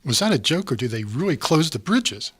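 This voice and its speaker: A man's voice: fairly high pitch, sing-song, brisk, slightly rough. A man acting in community radio theatre as a jovial, food-loving fellow on an outing, chatty and a little showy. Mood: puzzled.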